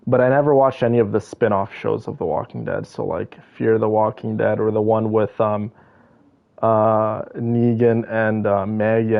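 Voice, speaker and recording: A man streaming a game and chatting with his viewers. His mid-pitched voice is creaky with fry, and he rambles through opinions in casual slang. The sound is a little dull. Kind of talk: casual chat with viewers while playing.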